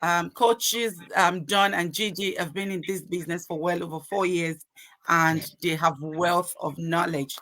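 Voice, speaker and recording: The bright, forceful woman who moderates an online panel show, heard close and dry as she formally introduces each guest. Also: fairly low pitch, steady tone, a little slow, dry recording. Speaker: podcast host.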